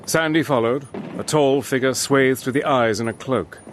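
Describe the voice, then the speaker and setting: A soldierly adventurer played by a man tells his wartime tale as a radio drama narrator. His mid-pitched voice is steady, measured and grave, with faint outdoor ambience behind it. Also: a little hiss or hum, close to an everyday voice. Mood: grave, tense.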